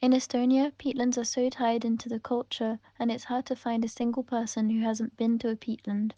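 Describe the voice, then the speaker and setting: Mellow woman reading answers, lo-fi. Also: fairly high pitch, level tone, fairly quick, no echo. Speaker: reader of interview answers. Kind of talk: read-aloud first-person answers.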